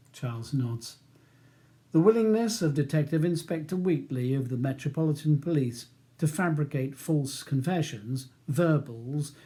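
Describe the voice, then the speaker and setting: A man's voice: fairly high pitch, warm tone. A man reading his own novel as scripted audiobook narration.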